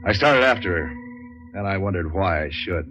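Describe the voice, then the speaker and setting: Hard-boiled American troubleshooter, low pitch, sardonic, clipped, 1940s radio drama, music behind. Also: male voice, expressive, moderate pace, pushed and bright. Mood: weary narration. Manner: clipped, sardonic, tough-talking. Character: hard-boiled, wisecracking male private troubleshooter, world-weary and cynical. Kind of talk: 1940s radio noir acting and first-person narration.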